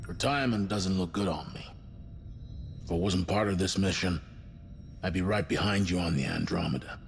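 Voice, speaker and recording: An actor playing a middle-aged spaceship commander in a game, measured, formal and encouraging with his crew. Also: deep voice, vocal fry, a little hiss or hum. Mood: proud, wistful. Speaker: mission commander. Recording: long hall reverb, faint music behind.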